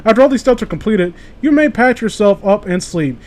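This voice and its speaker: A man's voice: sits high, lively intonation, a little fast, darkish tone. A man co-hosting a casual comedy podcast about internet horror stories, sweary, joking and exclamatory. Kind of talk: casual comedic chat with mocking read-alouds.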